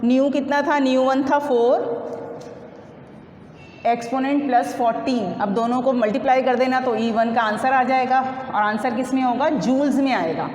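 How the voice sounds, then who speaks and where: A woman's voice: high-pitched, normal speed, a little mellow. Woman teacher in an echoey, reverberant room. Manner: instructive, poses questions then answers them herself; mixes Hindi-Urdu with English technical terms. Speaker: physics teacher. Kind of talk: step-by-step classroom lesson working through numericals. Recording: echoey reverberant room, clear background noise.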